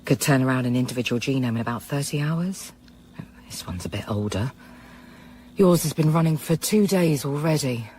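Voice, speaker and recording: An actress playing a measured, frank female scientist in a radio drama. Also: rumbling low, animated, warm tone, a little hiss or hum. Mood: measured, cautious.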